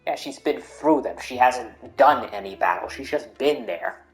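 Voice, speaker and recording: A man with a mid-pitched voice gives casual playthrough commentary. He is slangy and teasing, repeating phrases for emphasis, with some game music underneath. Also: lively intonation, unhurried. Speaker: gaming streamer.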